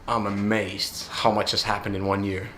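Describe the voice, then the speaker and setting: A man's voice: on the low side, level tone, moderate pace, bright, forceful tone, a little hiss or hum. Cheerful Danish man telling travel stories. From Denmark. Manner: cheerful, laughs easily, mixes in Indonesian words. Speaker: guest traveler. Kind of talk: casual interview chat.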